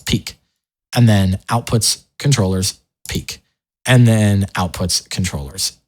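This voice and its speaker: A man narrating a software tutorial in a casual, informal way, explaining each step as the host. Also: on the low side, slow pace.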